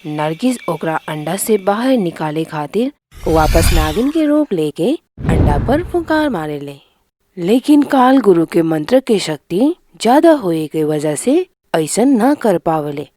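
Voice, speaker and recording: Lively woman narrating a fairy tale. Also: lowish voice, measured pace.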